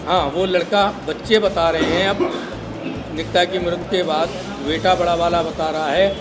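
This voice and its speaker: An older man giving a statement in an interview, speaking in deliberate, halting phrases. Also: sits high, flat delivery. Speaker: interviewee, father-in-law giving his side. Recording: loud music over the speech. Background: loud music.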